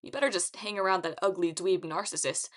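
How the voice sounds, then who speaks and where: A woman's voice: on the low side, steady tone, a little fast, lightly acted. A woman voicing a brash, boastful, wisecracking teenage boy hero in an audiobook. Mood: brash boasting. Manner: boastful, swaggering. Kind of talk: audiobook character acting.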